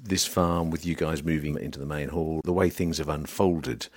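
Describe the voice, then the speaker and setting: A man's voice: a bass voice, steady tone, moderate pace, no echo, clean studio sound. A man hosting a podcast interview, chatty and teasing with his guest. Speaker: podcast host. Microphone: podcast microphone.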